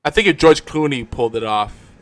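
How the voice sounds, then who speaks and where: A man's voice: medium pitch, lively intonation, brisk. A man co-hosting a casual talk show, bantering about films in an easygoing, agreeable, conversational way. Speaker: co-host of an audio drama talk show.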